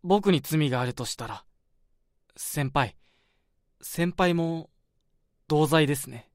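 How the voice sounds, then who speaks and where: A man's voice: a little high, takes it slowly, no echo, clean studio sound. A man playing a bitter, resentful junior. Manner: bitter, accusing. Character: resentful young male junior with murderous intent. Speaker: junior coworker. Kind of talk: radio drama acting.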